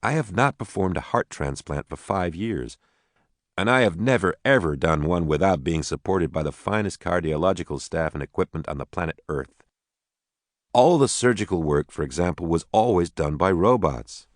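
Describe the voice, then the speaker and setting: A man plays a tired male surgeon in an audiobook novel. His low voice is brusque and emphatic, exasperated but in command, in a dry recording. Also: expressive, normal speed, dry recording.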